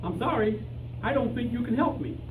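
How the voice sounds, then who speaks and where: A man's voice: very high voice, animated, brisk. A man playing an anxious, naive amateur detective in an old-time radio comedy, hesitant and earnest. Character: anxious, naive male amateur detective hunting his lost canary. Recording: echoey room from far off, noisy.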